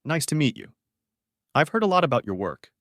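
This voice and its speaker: A man teaching beginner English, reading short model sentences clearly and saying each one twice. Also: on the high side, big pitch swings, a bit bright, no room sound, clean studio sound. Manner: says each phrase twice, clearly and deliberately.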